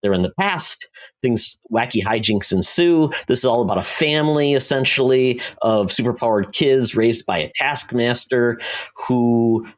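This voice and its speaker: A man co-hosting a podcast, chatting casually through entertainment news in a halting, loosely conversational way. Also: mid-range voice. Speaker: podcast co-host.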